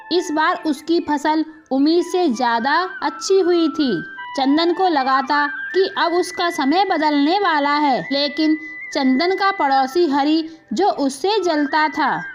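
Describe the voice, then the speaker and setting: A woman narrating a scripted moral story as its storyteller. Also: sits high. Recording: muffled lo-fi audio with music.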